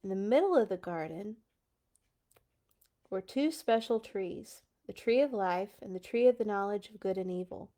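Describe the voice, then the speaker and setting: A woman's voice: medium pitch, lively intonation, normal speed, dark tone. A woman hosting a children's read-along, reading aloud and adding casual asides.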